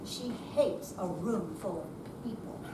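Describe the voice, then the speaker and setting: A woman's voice: medium pitch, takes it slowly, lightly acted. A woman reading the part of a stubborn, independent older cat owner who gets defensive, in readers theater. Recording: echoey room, far mic, clear noise.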